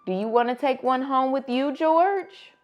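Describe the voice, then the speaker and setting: A woman's voice: high voice, unhurried, lo-fi sound, soft background music, pitched above the performer's own voice. A woman voicing a flustered but kindly female animal shelter director in a storybook, in an emphatic way. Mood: kindly, pleased.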